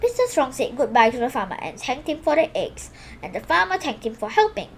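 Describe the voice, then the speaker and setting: A woman's voice: sits high, sing-song, brisk, slight background noise. A woman reading a children's picture book aloud expressively, exclaiming the sound words and sometimes stumbling and correcting herself. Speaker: storybook reader for a children's video channel.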